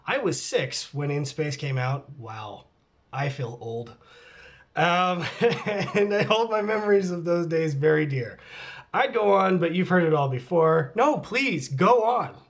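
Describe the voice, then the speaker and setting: A man's voice: on the high side, lively intonation, a little slow. A middle-aged man at home, casually reading and answering fan comments, laughing often and dropping into muttered asides. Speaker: channel host answering fan questions. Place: at home with his cat.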